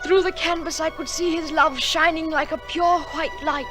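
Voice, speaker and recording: A woman's voice: sits high, fairly even intonation, moderate pace, close to an everyday voice. An actress playing a lovelorn young woman, delivering a poetic, yearning monologue in a radio drama. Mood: wistful. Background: music.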